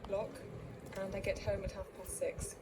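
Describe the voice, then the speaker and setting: A friendly young marketing assistant, voiced by an actress, introduces herself in a clear, even way. Her mid-pitched voice sits over a distinct hiss from the course video recording. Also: moderate pace, close to an everyday voice. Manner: clear, steady self-introduction.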